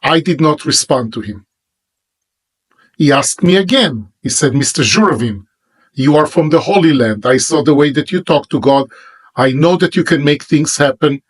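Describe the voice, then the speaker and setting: A man with an Israeli accent, a native Hebrew speaker, preaching about prayer in an emphatic monologue with pauses between phrases. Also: on the high side, lively intonation, slightly rough. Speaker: religious teacher. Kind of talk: monologue sermon.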